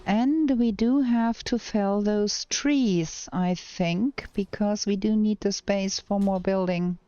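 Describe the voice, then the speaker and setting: Slow-talking German woman streamer. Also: mid-range voice, dark tone, clear, resonant tone, lo-fi sound. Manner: slow, thinking aloud while playing. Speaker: game streamer. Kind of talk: casual gameplay commentary with chat replies.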